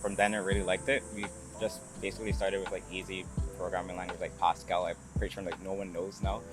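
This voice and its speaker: A man's voice: low-pitched, little pitch movement, quick pace, music in the background. A young man from Barbados, a student interview guest, chatting casually and loosely with lots of hedging. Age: young adult. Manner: informal, hedging, peppered with "like". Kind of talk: casual chat.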